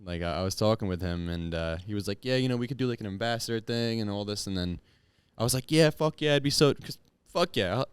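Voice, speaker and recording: A man's voice: on the low side, expressive, quick pace, hardly any pauses, smooth, dry recording. A man in his twenties, a podcast guest chatting casually, laid-back, swearing freely and laughing often. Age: 20s. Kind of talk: casual chat.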